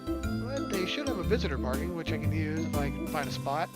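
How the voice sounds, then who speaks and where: A man with a fairly high voice reads a visual novel aloud for a let's play. His delivery is casual and first-person, and loud game music runs underneath. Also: sing-song, brisk. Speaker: let's player.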